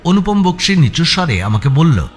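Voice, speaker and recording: Animated male thriller narrator, highish voice. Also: moderate pace. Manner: expressive, suspenseful storytelling. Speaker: narrator of an audio story. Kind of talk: dramatic first-person scripted narration. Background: background music.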